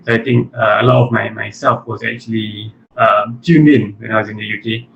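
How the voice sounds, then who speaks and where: Man, Malaysian accent, creaky mid-pitched voice, casual interview answers, dull lo-fi sound with faint noise. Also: steady tone, a little fast, a bit bright. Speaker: interview guest, animation studio chief executive. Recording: muffled lo-fi audio with faint noise.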